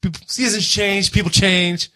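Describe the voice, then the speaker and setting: A man's voice: high-pitched, steady tone, normal speed, bright, forceful tone, soft background music. A man co-hosting a podcast in casual, slangy banter, joking around as he talks. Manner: jokey, slangy, breaks into snatches of song. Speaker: podcast co-host who is also in a band.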